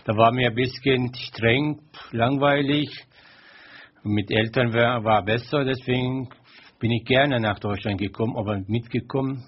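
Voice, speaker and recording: A man in his fifties with a husky, breathy voice, speaking in simple, halting phrases. The sound is dull and lo-fi, like an old radio recording. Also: medium pitch, fairly even intonation. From Turkey. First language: Turkish. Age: 50s. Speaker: studio guest. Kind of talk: casual studio chat.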